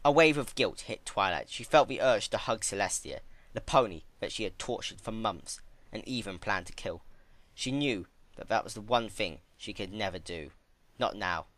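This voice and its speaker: A man giving a dramatic scripted narration of a dark story. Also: fairly low pitch, expressive, moderate pace, no room sound.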